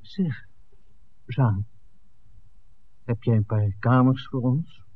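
An actor playing an eager, easygoing filmmaker with an eye for women in a 1960s Dutch radio drama. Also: male voice, medium pitch, slow, husky. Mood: friendly, keen. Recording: muffled, narrow old radio recording.

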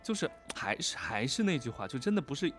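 A man's voice: mid-range voice, very animated, brisk, fairly bright tone, faint music behind. A man co-hosting a casual livestream, talking effusively and often repeating his words of agreement. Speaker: voice actor co-hosting a livestream about an audio drama. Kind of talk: casual live chat.

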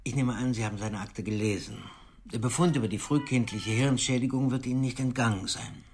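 A man's voice: medium pitch, fairly quick, a little mellow, slight background noise, close to an everyday voice. A man playing a condescending senior psychiatrist in a radio drama, formal and patronising. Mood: formal, cool. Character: condescending male senior psychiatrist, sceptical and authoritative.

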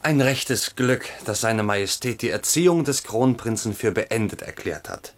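An actor playing a cynical royal tutor in a radio play, measured, dry and mock-deferential. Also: male voice, lowish voice, expressive, lightly acted. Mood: sly mockery. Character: cynical, dry courtly tutor needling his pupil.

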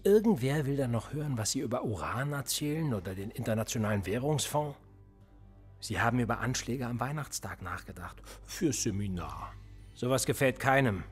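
Police interrogator, husky, calm, needling, radio drama. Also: male voice, medium pitch. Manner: calm, needling, probing questions. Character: male police interrogator, cold, probing. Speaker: police investigator.

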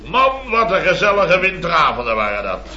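Blustery, high-pitched middle-aged contractor. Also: male voice, big pitch swings, brisk, crowd noise. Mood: boastful relish. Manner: rambling, exclamatory, constant tag words. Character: blustery middle-aged boastful male building contractor, rambling anecdote teller. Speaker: comic lead actor. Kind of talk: 1970s radio comedy acting. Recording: dull old radio recording, roomy, audience laughter.

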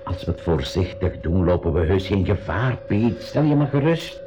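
An actor playing an older professor uncle with a Flemish accent, a cautious, commanding submarine leader who mutters and repeats himself in a radio drama. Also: male voice, sits low, big pitch swings, normal speed, heavy background noise. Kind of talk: 1980s school radio drama acting. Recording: dull, muffled 1980s radio recording.

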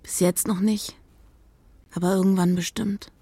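A woman playing a wistful, searching lover in an intimate radio drama, speaking in a hushed, breathy half-whisper. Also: mid-range voice, flat delivery, measured pace, no room sound.

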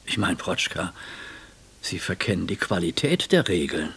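An actor playing an educated, sceptical German jurist in a radio play, talking in a measured, bookish, questioning way with a gravelly, husky voice. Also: male voice, sits low, sing-song, a bit bright, full character voice. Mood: sceptical, thoughtful.

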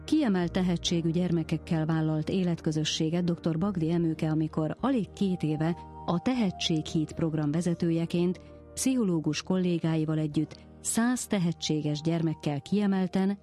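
A woman narrating a programme from a script in short, measured statements. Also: sits low, mellow. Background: faint music.

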